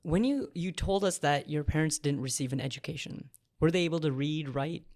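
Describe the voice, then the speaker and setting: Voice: medium pitch, fairly quick, a little mellow, no echo, studio quality. A podcast host reading an earnest introduction and asking interview questions. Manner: earnest, reads from notes, restarts.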